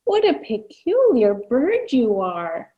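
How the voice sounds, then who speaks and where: A woman's voice: a little high, wildly swooping pitch, slow, lo-fi sound, exaggerated voice. A woman voicing a small, curious, puzzled bat in a children's storybook, with an inquisitive delivery.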